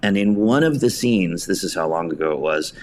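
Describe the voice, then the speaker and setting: Middle-aged American male, very low register, self-deprecating raconteur in an interview, some background noise. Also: lively intonation, brisk. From New Jersey, United States. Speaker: podcast guest, theater director and writer. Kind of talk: anecdotal interview storytelling.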